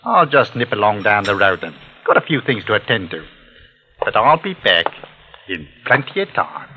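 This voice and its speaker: Radio-play villain: working-class husband and crook, insinuating, very animated pitch, muffled vintage recording. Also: male voice, moderate pace, slightly rough. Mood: quietly menacing. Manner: sly, coaxing, insinuating. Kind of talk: old-time radio drama acting.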